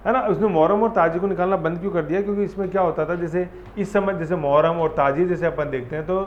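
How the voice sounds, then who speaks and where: A man's voice: high-pitched, fairly even intonation, quick pace. A man teaching an exam-prep history lesson in a chatty, repetitive way, often quizzing his students. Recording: echoey room, dull audio, faint ambience.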